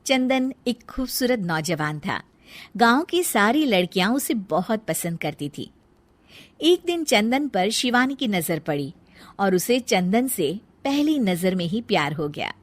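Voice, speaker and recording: A woman narrating a cartoon story in a calm, scripted storytelling manner. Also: mid-range voice, measured pace, no room sound.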